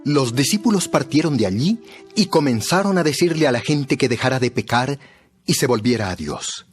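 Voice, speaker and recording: A man's voice: mid-range voice, lively intonation, moderate pace, soft background music. A man narrating a dramatized Bible story from a script.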